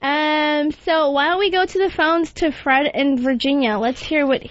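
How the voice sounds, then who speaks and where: A woman's voice: high voice, moderate pace. A woman hosting a talk show in a casual, frank and unembarrassed way. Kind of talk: casual radio chat. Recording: dull lo-fi audio, faint music.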